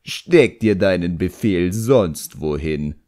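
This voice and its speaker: A man's voice: low voice, lively intonation, slow pace, dark tone. A man voicing a cocky ancient djinn in an audiobook, sly and sarcastic, with a smug drawl. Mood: defiant.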